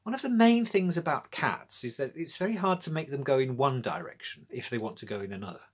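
A man's voice: medium pitch, lively intonation, brisk, husky. A middle-aged British man narrating a children's story as a storyteller. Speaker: author and storyteller. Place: small indoor room with bookshelves.

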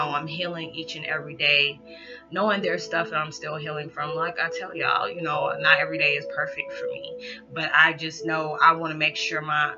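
Woman in her 30s hyping up her viewers from her living room, loud and rowdy, muffled laptop mic with music under it. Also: deep voice. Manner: animated, loud, rowdy, lots of hand gestures. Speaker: vlogger. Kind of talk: casual motivational talk to camera. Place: small living room indoors. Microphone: laptop built-in mic. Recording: dull lo-fi laptop audio with background music.

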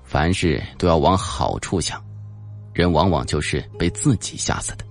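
Male narrator for suspense audiobook: deep, gravelly, dramatic, deliberate delivery. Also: faint music behind. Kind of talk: scripted suspense novel narration.

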